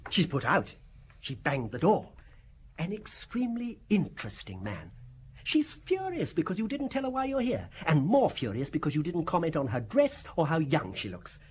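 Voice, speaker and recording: An actor playing a courteous, obsessive middle-aged gentleman of the law in an audio drama, talking in an eager, effusive, theatrical way. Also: a little high, huge pitch swings, normal speed, breathy edge, full character voice. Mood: flustered, confiding.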